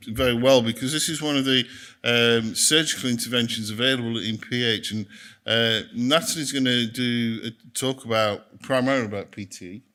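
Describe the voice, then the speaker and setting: A man with a bright, forceful tone introduces the next speaker at a conference. He stumbles and repeats words often while keeping a friendly chairing manner. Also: mid-range voice.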